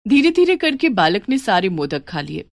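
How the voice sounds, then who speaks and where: A woman narrating a moral story with a clear, even, steady storyteller's delivery. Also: mid-range voice, moderate pace, no echo, clean studio sound.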